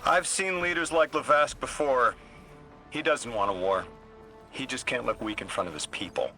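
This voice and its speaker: A man's voice: medium pitch, moderate pace, pushed and bright, soft background music. An actor playing a cocky, driven young male intelligence operative in a video game, urgent and confident. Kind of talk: video game dialogue acting.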